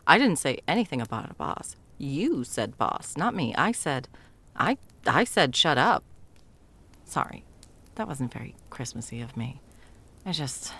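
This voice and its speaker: Woman as a flustered low-voiced Christmas elf. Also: creaky, fairly bright tone, full character voice. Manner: evasive, sarcastic, rambling with stammered backtracking. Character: flustered, bumbling female Christmas elf caught mid-delivery. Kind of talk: whimsical comedic ASMR roleplay acting.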